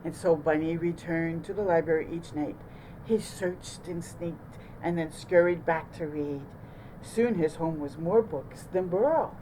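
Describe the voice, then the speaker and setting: Slow, mellow woman reading a picture book. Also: fairly low pitch, slight background noise, low-quality recording. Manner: expressive, deliberate. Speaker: storytime host reading aloud. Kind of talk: children's picture book narration.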